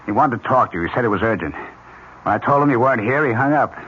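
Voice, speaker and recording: A man's voice: medium pitch, fast talker, fairly bright tone, close to an everyday voice. A man playing an anxious, defensive American junior partner at an advertising agency in a radio drama. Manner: defensive, pleading. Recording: muffled old radio, faint noise.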